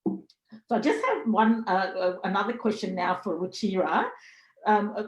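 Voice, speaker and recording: A woman from Sri Lanka moderating a panel discussion, speaking earnestly with many pauses and fillers. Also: mid-range voice, expressive. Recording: roomy echo.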